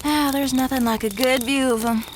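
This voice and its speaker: A woman's voice: high-pitched, fairly even intonation, moderate pace, natural voice. A woman playing a brash, breezy seasoned expedition camper in an audio drama, blunt and teasing. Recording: insect-filled jungle noise behind.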